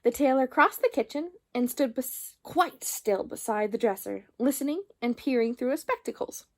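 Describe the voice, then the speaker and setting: A woman storyteller reading a children's book aloud in an expressive narrating style. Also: high-pitched, normal speed.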